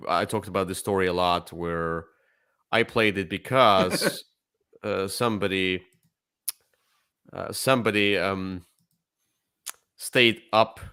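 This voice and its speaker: A man co-hosting a casual board game discussion, enthusiastic, with halting, repetitive phrasing. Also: deep voice, fairly bright tone, clear, resonant tone. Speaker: co-host.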